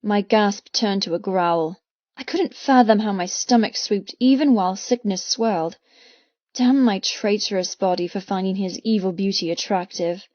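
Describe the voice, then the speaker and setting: A female audiobook narrator with a medium-pitched voice reads first-person fiction expressively and intensely. The recording is very dry and slightly muffled, with no room around the voice. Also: normal speed, a little mellow, no echo. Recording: slightly muffled, dry close studio sound.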